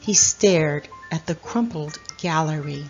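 A middle-aged woman reading a children's picture book aloud as host, in a deliberate, expressive storyteller manner. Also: low-pitched, lo-fi sound, soft background music.

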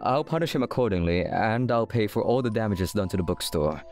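A man's voice: on the low side, expressive, a little fast, darkish tone, soft background music. A man voicing a stern, controlled, businesslike father of a teenager in a manga dub. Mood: stern, composed. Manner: formal, controlled.

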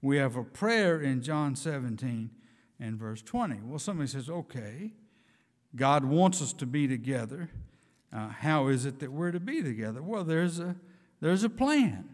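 A man's voice: medium pitch, big pitch swings, moderate pace. A man in his sixties preaching an earnest, emphatic sermon from a church pulpit, reading scripture aloud. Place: church sanctuary, speaking from a pulpit. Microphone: pulpit microphone. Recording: church hall reverb.

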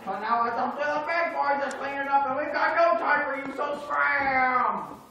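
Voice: high-pitched, moderate pace, sharp tone, slight background noise. A puppeteer voicing a rude, blustering bank manager who scorns his customers, in a live puppet show. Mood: scornful. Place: small indoor puppet stage. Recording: echoey, dull room sound from far off.

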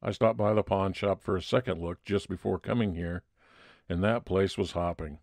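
Man playing low-voiced, chatty police detective. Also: normal speed, vocal fry, a little mellow, dry recording, clean studio sound. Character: Detective Eddie, friendly chatty male police detective. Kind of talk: old-time radio detective drama.

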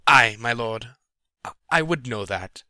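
An actor playing a dutiful, hesitant and deferential servant in a dramatic Shakespeare reading. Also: male voice, mid-range voice, expressive, unhurried, pushed and bright, no echo, studio quality. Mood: doubtful. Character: dutiful, deferential male servant questioning his master.

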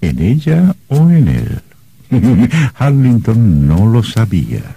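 An actor playing a grave, ominous storyteller with a deep, rough voice, narrating a scripted radio drama. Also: male voice, big pitch swings, slow, warm tone, exaggerated voice.

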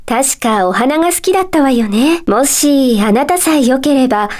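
A woman voicing a polite, gentle and mysteriously calm magic teacher in a video game, with composed, kindly phrasing. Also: high voice, normal speed. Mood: gently musing. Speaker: teacher character in a puzzle game. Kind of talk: Japanese video game character dialogue.

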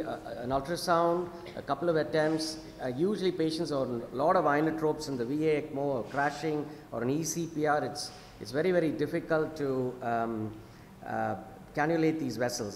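A man's voice: medium pitch, a little mellow. Middle-aged man, panel moderator, podium mic, echoey hall, audible background noise. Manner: measured, frequent fillers. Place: large conference hall, at a podium. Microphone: podium microphone.

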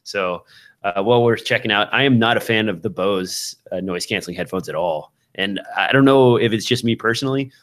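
A man in his thirties with a fairly low voice hosts a podcast. He talks casually about tech gear, speaking closely into a studio microphone. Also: a little fast, fairly bright tone. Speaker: podcast host. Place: small indoor room with shelves of gear. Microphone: broadcast microphone on a boom, close up, with headphones.

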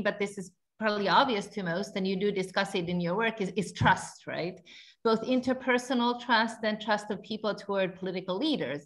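A woman's voice: on the high side, fairly bright tone. Woman researcher explaining on a video call. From Finland. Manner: fluent, explanatory, mild fillers. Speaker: panelist, researcher. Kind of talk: panel discussion answer. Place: indoor room. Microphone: video call webcam.

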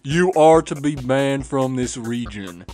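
Man acting officious enforcer, stern, formal, medium pitch, faint music, comic dub. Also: unhurried, warm tone, lightly acted. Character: officious henchman enforcing a ban.

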